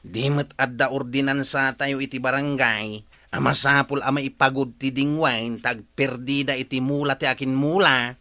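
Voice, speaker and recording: A man's voice: mid-range voice, fairly even intonation, moderate pace, bright, forceful tone. An actor playing an earnest, indignant village man in an Ilocano radio comedy drama. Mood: reproachful.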